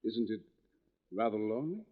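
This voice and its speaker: An actor playing a courteous, inquisitive and intense doctor in 1940s radio drama style. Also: male voice, on the low side, lively intonation, moderate pace, lightly acted. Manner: formal, inquisitive, earnest. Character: courteous, intense male doctor writing about criminal minds. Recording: dull vintage 1940s radio recording.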